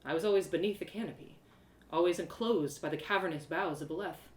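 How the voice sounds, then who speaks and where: A woman voicing a plucky young girl adventurer who narrates her own journey in an expressive, colloquial storybook style. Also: on the low side, roomy sound, lightly acted. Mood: reflective, disappointed. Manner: expressive, colloquial, with exclamations and dramatic pauses. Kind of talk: animated first-person storybook narration, live one-woman audio drama.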